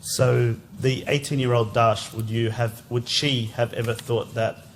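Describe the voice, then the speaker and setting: A man interviewing a guest, asking calm, direct questions. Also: mid-range voice, unhurried, slight background noise.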